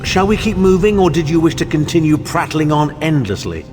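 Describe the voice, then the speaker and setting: A man's voice: on the high side, big pitch swings, fairly quick, darkish tone. Sneering, sardonic nobleman. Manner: dry, sneering, arch. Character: haughty, sardonic, impatient male nobleman companion. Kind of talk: fantasy video game acting. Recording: long reverb, faint music.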